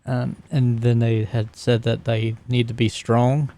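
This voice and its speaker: A man playing a tabletop roleplaying game, talking casually and describing his character's actions in a halting way. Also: medium pitch, little pitch movement, dark tone.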